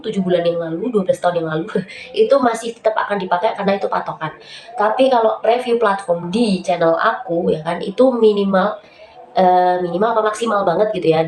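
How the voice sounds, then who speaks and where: A woman's voice: medium pitch, darkish tone. Nasal-sounding woman vlogger with a cold. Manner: chatty, many fillers, addresses viewers as guys. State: stuffy nose from a cold. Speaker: YouTube host giving writing tips. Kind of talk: casual tutorial vlog. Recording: roomy echo, faint background noise.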